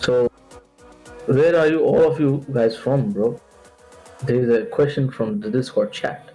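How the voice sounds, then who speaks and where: A man with a mellow, darker voice chats loosely on a live stream, joking around and calling everyone bro. His English is not his first language. Soft music plays faintly behind him. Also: medium pitch, unhurried, low-quality recording. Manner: casual, joking, says bro a lot. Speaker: livestream co-host. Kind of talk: casual live stream chat.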